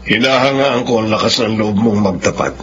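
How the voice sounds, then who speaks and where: A man acting a gracious, protective middle-aged father in a radio drama, measured and solemn. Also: lowish voice, natural voice. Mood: solemn, approving. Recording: dull lo-fi audio with music.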